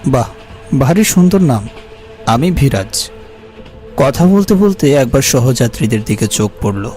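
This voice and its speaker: A man playing a polite, uneasy traveller who narrates an eerie animated ghost story in the first person. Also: mid-range voice, unhurried. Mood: suspicious, uneasy. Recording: faint music behind the voice.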